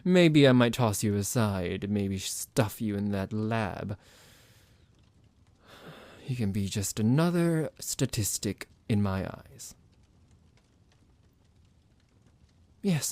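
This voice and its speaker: A psychotic vampire doctor, acted by a man for an ASMR roleplay, speaks in a fairly low voice at a very unhurried pace. He is smug and condescending, menacing his captive with theatrical relish. The sound is dry and close. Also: a little mellow, no room sound.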